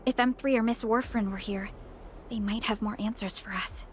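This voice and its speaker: A woman's voice: medium pitch, fairly even intonation, fairly quick, a little hiss or hum, close to an everyday voice. An actress voicing an earnest, thoughtful and dutiful woman protector in a video game, speaking seriously and formally. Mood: pensive, resolute.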